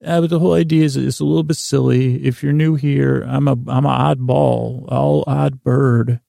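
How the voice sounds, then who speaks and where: A man hosting a bedtime podcast in a rambling, whimsical way, halting and repeating himself, close to the mic in a small closet. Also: mid-range voice, steady tone, vocal fry, mellow, dry recording. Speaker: podcast host. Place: small closet used for recording. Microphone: close mic in a small recording closet.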